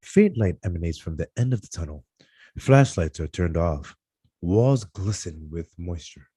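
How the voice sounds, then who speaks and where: A man with a very low, husky voice reads screenplay stage directions at a table read. His tone is dark and mellow, yet his pitch moves around in a lively way. He now and then trips on a word and corrects it. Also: a little slow, no echo, clean studio sound. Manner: stumbles over words and corrects himself.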